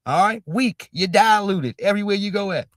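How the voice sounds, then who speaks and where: A man's voice: high-pitched, a little fast, fairly bright tone, dry recording, clean studio sound. A man hosting a stream, ranting in emphatic commentary and repeating "all right?" after nearly every phrase. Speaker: streamer host.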